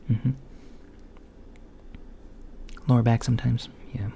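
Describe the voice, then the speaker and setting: A soft-spoken man playing a mild, curious alien doctor in an ASMR roleplay, hesitant and politely clinical, often dropping toward a whisper. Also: medium pitch, little pitch movement, quick pace, vocal fry, natural voice. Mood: mildly curious. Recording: lo-fi with faint background noise.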